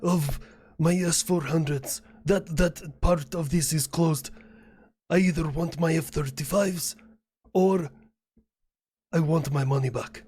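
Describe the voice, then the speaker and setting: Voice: mid-range voice, slow pace, long pauses, darkish tone, breathy edge. Satire role, put on by a skit performer: demanding Turkish statesman, stubborn, curt, insistent.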